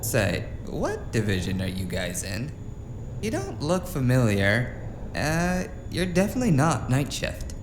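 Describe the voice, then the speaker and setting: Man playing chatty wry office worker, lively pitch. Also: a little slow. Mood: wary. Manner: rambling, wry, easygoing. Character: chatty, unflappable wry male office worker named Guy. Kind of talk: noir audio drama dialogue. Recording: roomy echo, clear background ambience noise.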